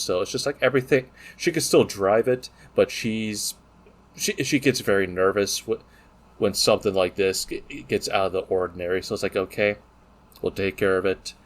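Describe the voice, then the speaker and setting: Male podcast co-host, lowish voice, casual and joking, comfortable with coarse language. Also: steady tone, moderate pace. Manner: profane, joking banter. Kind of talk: casual chat.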